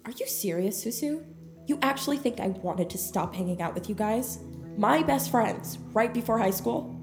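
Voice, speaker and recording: A woman's voice: fairly high pitch, animated, moderate pace, over music. An actress playing a sarcastic, exasperated, defensive young woman in a naturalistic audio drama. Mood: hurt, indignant.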